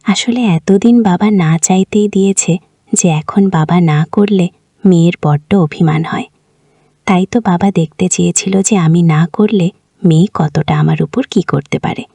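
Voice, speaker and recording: A woman's voice: on the low side, creaky, dark tone, slightly rough, dry recording. A woman tells a family story in an informal, colloquial way, as a storyteller who voices the dialogue herself.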